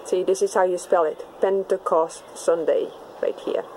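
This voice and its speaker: A woman with a Dutch accent, a native Dutch speaker, giving a children's message in simple, clear words. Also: lowish voice, fairly even intonation, normal speed, mellow, slight background noise, rough recording. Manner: simple, clear explanations to kids. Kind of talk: friendly talk to children.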